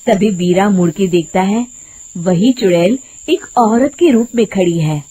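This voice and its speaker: A woman narrating a scripted horror story in a deliberate storybook manner. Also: medium pitch, lively intonation, mellow, breathy edge.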